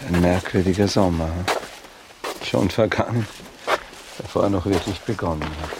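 A reserved, melancholy baron in a German radio drama. His voice is deep, and he speaks with formal, brooding detachment. There is slight noise in the recording. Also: normal speed. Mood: melancholy, withdrawn. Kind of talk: naturalistic German radio play acting.

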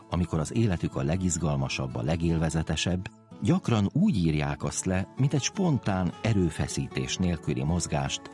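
Very deep-voiced man narrating over music. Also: warm tone. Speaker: narrator and presenter. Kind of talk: scripted narration.

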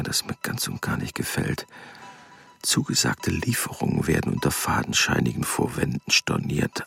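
An actor playing an embittered, suspicious German engineer and father in a radio play, grumbling indignantly in a gravelly, husky half-whisper. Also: extremely low pitch, fairly bright tone, exaggerated voice. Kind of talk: radio play inner monologue.

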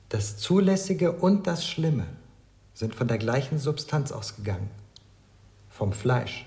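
A man's voice: medium pitch, mellow. An actor playing a young male servant in a German radio drama, enigmatic and obedient, speaking formally and cryptically.

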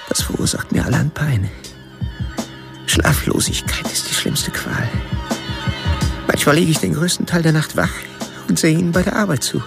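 Creaky-voiced, brooding intellectual killer over music. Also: male voice, fairly high pitch, big pitch swings, moderate pace, long pauses. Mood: brooding, sardonic. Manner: bookish, pedantic, sardonic. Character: brooding male serial killer, intellectual, coldly self-absorbed. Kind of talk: first-person radio drama narration, inner monologue.

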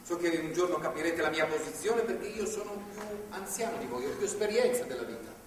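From far off in a reverberant hall, a man plays a middle-aged, paternalistic man of faith. He lectures firmly and deliberately, with the self-righteous authority of a theatrical speechmaker. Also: high-pitched, close to an everyday voice. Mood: firm, patronising. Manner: measured, preachy, authoritative. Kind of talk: theatrical stage acting, speechmaking. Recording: echoey theatre hall, distant mic, faint noise.

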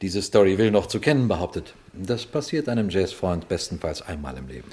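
An actor playing a shrewd, composed male police detective in a 1960 radio crime drama, measured, dry and politely superior. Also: low voice, big pitch swings, darkish tone. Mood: dryly instructive. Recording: vintage 1960 radio recording.

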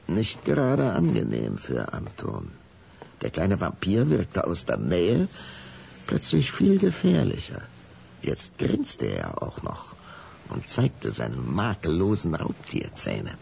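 A man with a gravelly voice narrating a children's radio play in a dramatic storybook style. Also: sits low, darkish tone, slight background noise, heavily acted.

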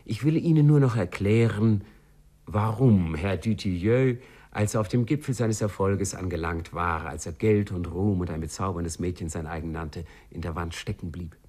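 An actor as a wry, knowing narrator, telling the story in a measured literary manner in a 1950s radio play. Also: male voice, low-pitched, mellow, breathy edge. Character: wry, knowing male storyteller addressing listeners. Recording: 1950s radio recording with music.

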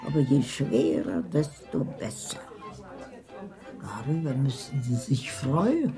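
A woman's voice: very deep voice, expressive, slow, music in the background, exaggerated voice. A woman playing an old, worldly, pious grandmother in a radio drama, giving frank, confiding and knowing advice. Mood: sententious, confiding. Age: older. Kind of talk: German literary radio drama acting.

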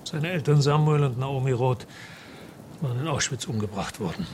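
A man's voice: mid-range voice, lively intonation, normal speed, darkish tone, a little hiss or hum, lightly acted. An actor playing a well-spoken antiques dealer under questioning in a radio crime drama, formal and careful in his phrasing. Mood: guarded.

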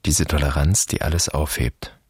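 An actor playing a man's haunted, fearful inner voice in a poetic radio drama, husky, terse and incantatory. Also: a bass voice, little pitch movement, moderate pace, dry recording, clean studio sound, exaggerated voice. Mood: reproachful. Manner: terse, incantatory, repeating phrases.

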